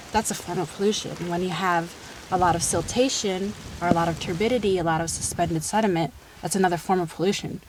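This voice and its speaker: A young woman, a water quality specialist, explaining things outdoors beside a creek in an eager, explanatory way. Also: on the low side. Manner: explanatory, enthusiastic, laughs lightly. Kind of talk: field interview explanation. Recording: outdoor field recording with noise. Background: outdoor ambience.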